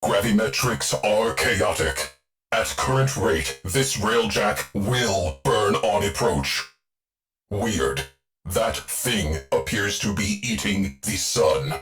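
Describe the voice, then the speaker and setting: Grim shipboard AI, low-pitched, slow deliberate pace, clipped commands, deadpan, video game. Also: male voice, soft background music. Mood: urgent, stern. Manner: clipped, stern, deadpan military delivery. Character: terse, grim military ship artificial intelligence, male, commanding. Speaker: shipboard tactical officer. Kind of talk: video game mission voice lines.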